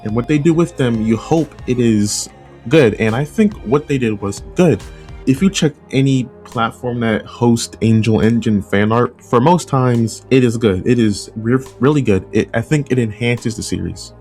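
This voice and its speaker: A man's voice: medium pitch, normal speed, a little mellow. A man giving casual opinion commentary as a video essay host, conversational and often rephrasing his points. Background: background music.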